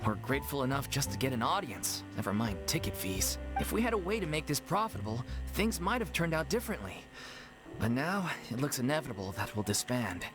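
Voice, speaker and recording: Man voicing an earnest, expressive young theater amateur. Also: medium pitch, a little fast, lightly acted. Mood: downcast. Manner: polite, hesitant, earnest. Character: earnest, downhearted young male amateur theater troupe member. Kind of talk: anime game voice acting. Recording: music behind the voice.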